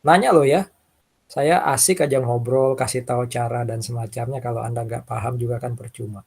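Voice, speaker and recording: A man with a husky voice, lecturing informally and talking through worked examples, often checking in with short tags. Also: medium pitch, steady tone, a little fast, darkish tone. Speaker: accounting lecturer.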